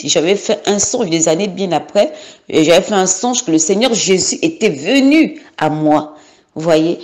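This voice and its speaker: A woman's voice: on the low side, a little mellow. A woman from Gabon in her thirties giving an unscripted personal testimony, hesitant, with many fillers and repeated words.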